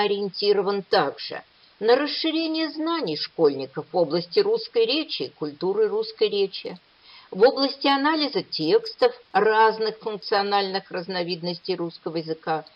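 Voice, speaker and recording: A woman delivers an academic conference lecture in a measured, explanatory way. Her intonation moves up and down a lot as she stresses key points. The recording sounds dull and lo-fi. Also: medium pitch.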